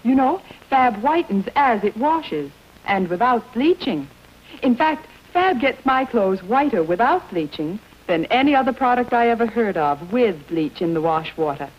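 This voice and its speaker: A woman's voice: a little high, big pitch swings, unhurried, full character voice. A woman playing a friendly, helpful housewife neighbor in a vintage soap commercial, with a salesy delivery. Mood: chipper. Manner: chipper, salesy. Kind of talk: vintage mid-century commercial acting. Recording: dull, rough vintage radio advert recording.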